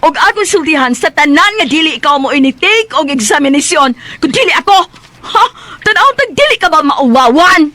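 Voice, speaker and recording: An actress playing a rude, bratty young woman in a radio drama who whines and scolds in a shrill voice. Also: sing-song, fairly quick, pushed and bright, no room sound, full character voice. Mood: tearful, indignant.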